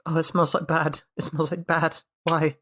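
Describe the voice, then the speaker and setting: A man's voice: a little high, little pitch movement, moderate pace, a bit bright. A man voicing an anxious, reluctant schoolboy, whiny and hesitant, in a tabletop game. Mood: queasy resigned.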